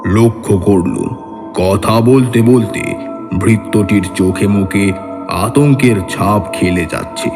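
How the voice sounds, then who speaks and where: A man giving measured, literary narration of a horror audio story, in a scripted dramatic style. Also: lowish voice. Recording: long reverb with music bed. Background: clear background music.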